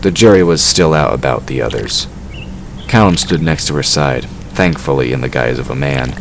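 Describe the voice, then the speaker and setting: Male narrator for fantasy audio drama: exceptionally low voice, scripted storytelling, clear ambient noise behind. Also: moderate pace.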